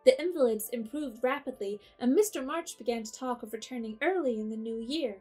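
A woman narrating a classic storybook in a measured way. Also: a little high, a little mellow, faint music behind.

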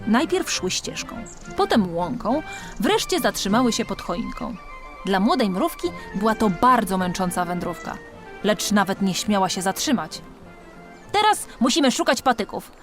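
A woman narrating a scripted children's audiobook story in an expressive storytelling manner. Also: mid-range voice, moderate pace. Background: music.

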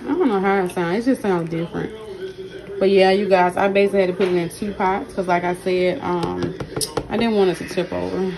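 A woman with a hoarse, partly lost voice, chatting casually to her viewers as she cooks in her home kitchen, recording on a handheld phone. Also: on the low side, fairly even intonation, a little fast, a crowd in the background, low-quality recording. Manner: chatty, keeps addressing viewers directly. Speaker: cooking channel host. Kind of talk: casual cooking vlog narration. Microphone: phone held in hand while cooking.

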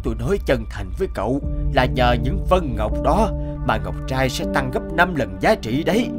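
An actor playing a stout, middle-aged connoisseur in an animated fable, grave and admonishing, explaining in measured phrases. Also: male voice, mid-range voice, loud music behind the voice, close to an everyday voice.

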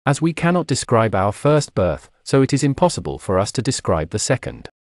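A man's voice: medium pitch, sing-song, brisk, no echo, studio quality. A man reading an old sermon aloud in an emphatic, declamatory preaching manner. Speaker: narrator reading a historical sermon. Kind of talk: scripted sermon reading, oratorical.

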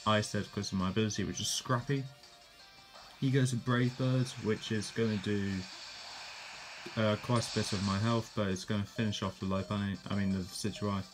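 A man with a low, easygoing voice talks through his moves in a handheld game battle. He narrates plainly, with fillers and the odd self-correction. Quiet game music plays underneath. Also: steady tone, moderate pace. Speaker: gameplay commentator. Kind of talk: casual play-by-play of a game battle.

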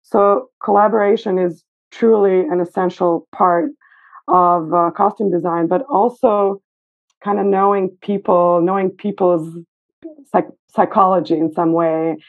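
A woman's voice: lowish voice, fairly even intonation, a little slow. Woman over a tinny phone-like line. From Serbia. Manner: thoughtful, hesitant with fillers. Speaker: guest costume designer. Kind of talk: casual interview. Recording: phone-like remote call.

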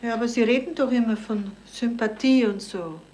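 A woman's voice: fairly high pitch, moderate pace, darkish tone, close to an everyday voice. An actress playing an older, demanding lady in a 1970s German radio drama, insistent, reproachful and wheedling. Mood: insistent, coaxing. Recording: slightly noisy 1970s radio recording.

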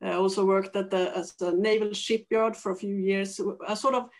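Flat-voiced Swedish woman on a call. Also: medium pitch, moderate pace. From Sweden. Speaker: panelist, senior lecturer.

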